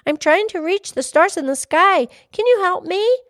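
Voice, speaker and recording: An earnest storybook little girl, acted out by a woman, very high and lively in pitch. She sounds determined, eager and pleading. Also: normal speed, no room sound, clean studio sound. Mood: hopeful.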